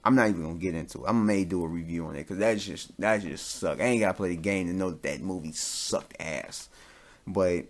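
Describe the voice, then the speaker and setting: A man's voice: deep voice, fairly quick. A man speaking as a podcast host in an informal, opinionated way, with slangy, casual solo commentary.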